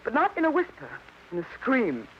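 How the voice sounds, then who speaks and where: Overwrought wife, high dramatic swooping voice, insistent, old radio melodrama. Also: female voice, huge pitch swings, brisk. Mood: anguished, indignant. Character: overwrought, defensive wife and stepmother, melodramatic and controlling. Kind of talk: old-time American radio melodrama acting. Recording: dull, noisy vintage radio recording.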